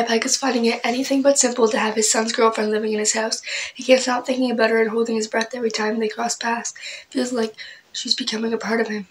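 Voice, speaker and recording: A young woman chatting casually about her book haul, clearing her throat often as she loses her voice, her asides trailing off soft and breathy. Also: a little high, a little hiss or hum, rough recording. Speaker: book vlogger. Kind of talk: casual book haul chat with read-aloud summaries.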